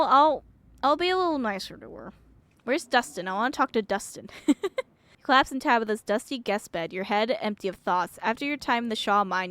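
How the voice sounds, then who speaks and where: A woman streamer reading a game aloud and reacting to it in an expressive, emotional way. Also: fairly high pitch, no echo.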